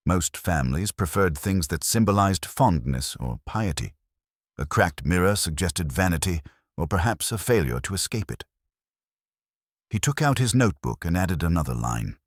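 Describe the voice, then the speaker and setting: A man with a very low, slightly husky voice narrates a mystery story. His delivery is measured and dryly wry, with lively rises and falls in pitch. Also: dry recording, studio quality. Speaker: audiobook narrator.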